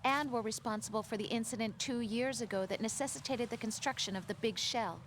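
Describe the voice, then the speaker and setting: An actress playing a concerned, gentle young woman who is a mission analyst, in a video game cutscene. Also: fairly high pitch, fairly quick, a little hiss or hum, lightly acted.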